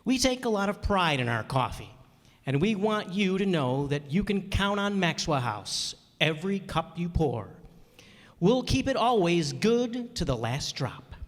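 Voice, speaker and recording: A man's voice: sits high, big pitch swings, unhurried. A man playing a polished 1940s radio sponsor announcer delivering a commercial. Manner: polished, warm sales delivery. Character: smooth old-time radio sponsor announcer. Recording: long hall reverb on stage.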